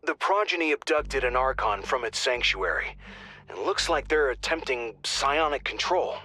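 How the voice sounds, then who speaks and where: An actor playing a field support officer on comms who talks in a casual, wry way. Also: male voice, medium pitch, lively intonation, moderate pace, sharp tone, breathy edge. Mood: informal. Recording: game audio with faint music.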